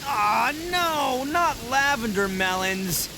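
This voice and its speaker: Game casting: cocky, goofy young male oni, high-pitched for a man, very animated bragging, slangy, music behind. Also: moderate pace, a crowd in the background. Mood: excited. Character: boisterous young male oni gang boss, boastful, goofy, good-hearted. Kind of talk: animated video game voice acting.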